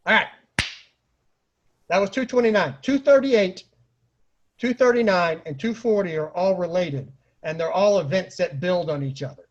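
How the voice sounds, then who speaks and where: A man telling casual comic stories in an animated way, acting out quotes and sound effects. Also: high-pitched, moderate pace, long pauses, highs cut off. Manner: acts out quotes and sound effects, bursts into exclamations. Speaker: storyteller and host of an online story series.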